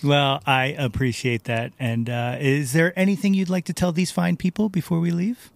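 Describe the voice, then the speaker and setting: Higher-voiced man hosting a casual podcast. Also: flat delivery, normal speed, vocal fry, dry recording. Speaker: podcast host. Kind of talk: casual podcast talk and outro.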